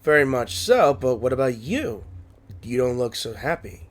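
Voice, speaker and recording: A man's voice: medium pitch, very animated, moderate pace, background noise. A man doing casual let's play commentary and reading along, with wry, joking asides. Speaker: gaming video creator. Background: game music.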